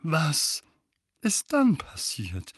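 A man's voice: on the high side, huge pitch swings, very slow, husky, no room sound, studio quality, voice pushed up, exaggerated voice. A man doing a blustering, barking uncle. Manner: loud, barking, spluttering. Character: blustering, bad-tempered middle-aged uncle.

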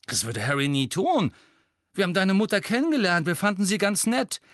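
A man's voice: high-pitched, sing-song, fairly quick, fairly bright tone, dry recording, clean studio sound, pitched above the performer's own voice. A man voicing a loyal, indignant teenage boy in an audiobook, blunt and pugnacious. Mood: puzzled. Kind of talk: audiobook character voice.

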